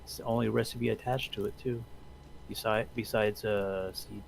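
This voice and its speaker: A man's voice: on the low side, fairly even intonation, normal speed, vocal fry, a little hiss or hum. A man talking casually with his teammates during a multiplayer game. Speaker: player on the stream. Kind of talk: casual gaming chat.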